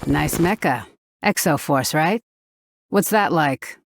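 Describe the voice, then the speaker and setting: A woman's voice: low-pitched, animated, slow, vocal fry, background noise, heavily acted. A woman playing a weary, easygoing soldier in video game dialogue, with a laid-back manner. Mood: casual, weary.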